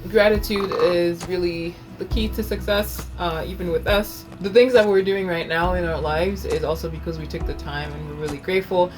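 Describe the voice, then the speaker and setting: A woman's voice: lowish voice, fairly even intonation, moderate pace, few pauses, faint music behind. A woman co-hosting a casual video chat with her husband, speaking in an earnest, heartfelt way. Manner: earnest, warm-hearted, talks about faith. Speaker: co-host.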